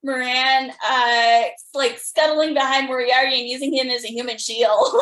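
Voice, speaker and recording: Woman podcast host, kinda high voice, takes her time, enthusiastic fan chat, roomy echo. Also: steady tone, normal speed, a bit bright. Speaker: podcast host interviewing guests. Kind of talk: casual fan interview chat. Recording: roomy, echoing sound.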